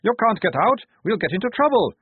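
Man doing an officious corporal, high-pitched, tinny audio. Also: big pitch swings, a little fast, breathy edge, no echo, heavily acted. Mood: alarmed. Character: officious corporal of the guard, mildly anxious man. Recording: narrow tinny phone-like audio.

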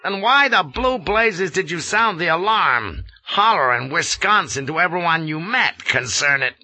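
A man voicing a gruff, irascible colonel with a rasping, indignant bark. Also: on the high side, animated, a little slow, bright, forceful tone, dry recording, voice pushed up, full character voice. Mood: angry, scolding. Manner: barking, indignant, blustering. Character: gruff irascible American colonel, grizzled veteran.

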